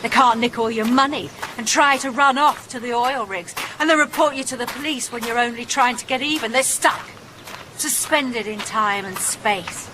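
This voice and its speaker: A woman's voice: on the high side, normal speed, close to an everyday voice. A woman playing a brash, mischievous young woman in a radio drama, breezy, teasing and bossy. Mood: wry, bitter. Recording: noisy atmospheric sound behind.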